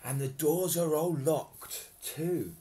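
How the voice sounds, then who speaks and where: A man's voice: fairly high pitch, slow pace, mellow, pitched above the performer's own voice. A man voicing a jolly, big old Father Christmas in a children's storybook, hearty and exclamatory. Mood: dismayed.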